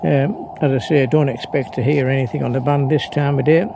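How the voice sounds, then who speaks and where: Male hobby vlogger, fry-edged voice, off-the-cuff and low-key, recorded outdoors with obvious ambient noise. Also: steady tone, fairly quick. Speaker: amateur radio operator, vlogger. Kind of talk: casual unscripted demonstration. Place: outdoors, partly on a breezy beach. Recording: clearly noisy outdoor ambience.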